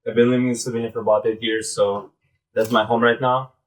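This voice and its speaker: A man in his thirties with a Belarusian accent gives an informal live presentation in a halting, conversational way, often saying "like" and "basically". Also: medium pitch, little pitch movement, low-quality recording. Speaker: presenter, startup founder.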